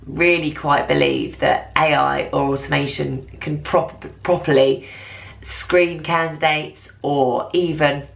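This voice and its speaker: A woman with a low voice and very strong creak runs through an informal business presentation. She explains things like a consultant who is keen on the material, in an enthusiastic and conversational way. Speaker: recruitment software consultant presenting.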